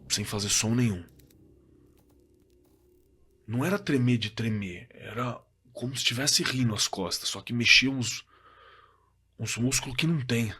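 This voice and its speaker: Guy acting a spooked man telling what he saw, husky bright voice, keeps stammering and repeating himself, audio drama. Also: lowish voice, full character voice. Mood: uneasy. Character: shaken male witness recounting a creature encounter at a cabin. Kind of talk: audio drama testimony, conversational.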